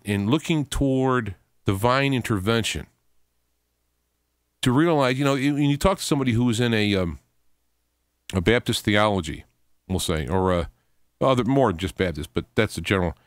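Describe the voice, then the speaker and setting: A man hosting talk radio, speaking informally and earnestly in a halting monologue, often repeating and restarting his words. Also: medium pitch, dry recording, studio quality. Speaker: radio show host.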